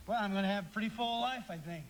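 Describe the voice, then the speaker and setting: A man's voice: high-pitched, fast, a little hiss or hum, close to an everyday voice. An actor playing a restless, affable young small-town man, folksy and boyish, in a live radio drama. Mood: eager, restless. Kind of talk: live radio play acting.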